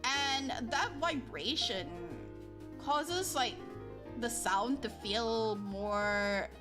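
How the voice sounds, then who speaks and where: Female streamer, casual rambling chat, high-ish voice, self-deprecating, light music bed. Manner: rambling, lots of likes and ums, self-deprecating. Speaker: livestreamer reading chat. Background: soft background music.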